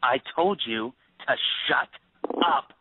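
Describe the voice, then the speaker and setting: A man acting in an audio play as a bitter grieving father turned cold avenger, accusing and sarcastic in a deliberate, commanding way. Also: medium pitch, expressive, background noise. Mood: furious. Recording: tinny phone-like band.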